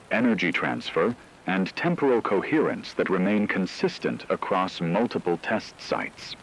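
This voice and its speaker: Guy doing deep solemn radio news anchor, formal, voice moves around a lot, rainy noise behind. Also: a bit bright. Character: grave male radio news anchor reporting on a catastrophe. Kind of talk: scripted radio news report. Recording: noisy, rain-like background ambience.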